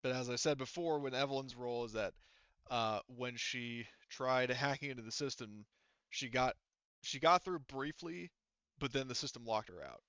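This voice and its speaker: A man running a tabletop game as game master, narrating casually and haltingly, often circling back to rephrase. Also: mid-range voice, a bit bright, smooth, dry recording, highs cut off.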